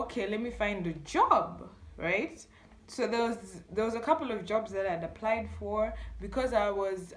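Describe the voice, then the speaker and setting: A young woman with a Malawian accent tells a story casually to camera in an animated way, acting out bits of dialogue with interjections. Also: medium pitch. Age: young adult. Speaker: storytime YouTuber. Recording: echoey room.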